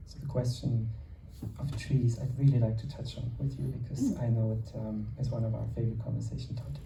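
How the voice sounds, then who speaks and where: Man, interviewer, gravelly, very dark voice, echoey room, distant mic, faint noise. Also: medium pitch, level tone, fairly quick. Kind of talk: conversational interview.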